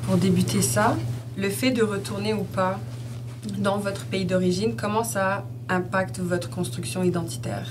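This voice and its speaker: A woman's voice: mid-range voice, fairly even intonation, measured pace. A woman moderating a group discussion with brief prompts and questions. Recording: distant mic, clear background noise.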